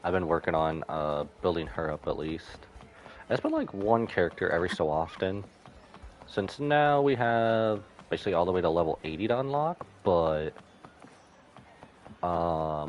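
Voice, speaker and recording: A man's voice: low voice, darkish tone, dull audio, slight background noise. A man doing casual live game commentary as a streamer.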